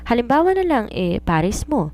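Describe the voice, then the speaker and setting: A woman's voice: a little high, very animated, normal speed, dark tone, voice pushed up. A woman voicing a confident romance writer in an audiobook, giving earnest, instructive advice like a mentor. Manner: earnest, instructive, self-assured. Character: confident, preachy woman romance writer advising her maid. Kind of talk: audiobook character dialogue.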